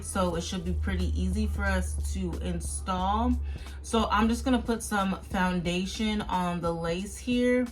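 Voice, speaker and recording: Chatty woman vlogger over background music. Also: medium pitch. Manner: chatty, informal, addressing viewers directly. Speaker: beauty vlogger and host. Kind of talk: casual product review to viewers.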